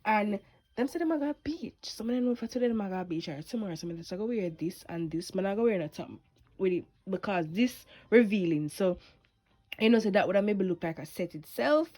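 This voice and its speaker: A woman's voice: medium pitch, expressive, brisk, a little mellow. An eighteen-year-old woman with a Jamaican accent, chatting casually to her vlog camera and drifting into patois as she rambles. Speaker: vlogger.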